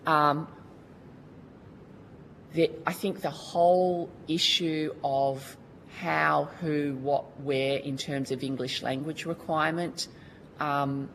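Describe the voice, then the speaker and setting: Australian woman, very low pitch, earnest colloquial testimony, faint background noise. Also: steady tone, slow, low-quality recording. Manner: earnest, colloquial, restarts often. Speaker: witness at a Senate committee hearing. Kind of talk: formal testimony.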